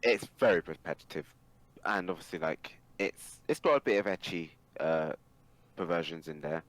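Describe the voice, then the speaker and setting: A man's voice: sits low, moderate pace, fairly bright tone, no echo. A man talking casually and emphatically on a podcast as an opinionated, sweary anime fan. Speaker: podcast guest or co-host.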